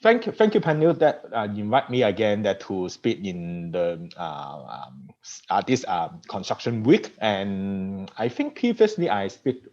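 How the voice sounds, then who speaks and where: Hong Kong-accented male guest speaker, low voice, lo-fi call audio. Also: big pitch swings, a little slow, hardly any pauses, a little mellow. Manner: repetitive restarts, non-native grammar. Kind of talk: conference talk over video call. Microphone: video call. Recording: dull lo-fi video call audio.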